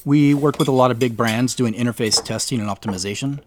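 A man's voice: medium pitch, fast, slight background noise, lightly acted. An actor playing an awkward, modest businessman in his late thirties, speaking hesitantly in an audio drama. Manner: hesitant, self-deprecating. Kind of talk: naturalistic audio drama dialogue.

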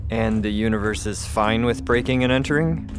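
An actor playing a wry, skeptical colony mechanic in an audio drama, talking in a blunt, casual, sarcastic way. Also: male voice, on the low side, brisk, close to an everyday voice.

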